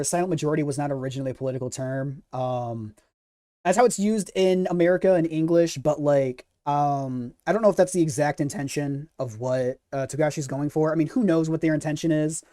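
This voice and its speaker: A streamer chats casually through fan theories in a soft-edged, mellow voice of medium pitch. The delivery is hesitant, with words repeated as thoughts form. The sound is very dry, with no room around the voice. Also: a little fast, no echo. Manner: hesitant, stammering repetition of words while thinking aloud. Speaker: host of a manga discussion stream. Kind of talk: casual theory chat, responding to live comments.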